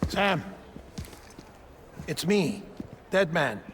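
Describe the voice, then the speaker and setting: A man playing an earnest, apologetic middle-aged government doctor in a cinematic video game, speaking in a hesitant, polite, deliberate way. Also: on the high side, sing-song, noisy background, exaggerated voice. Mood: apologetic, solemn.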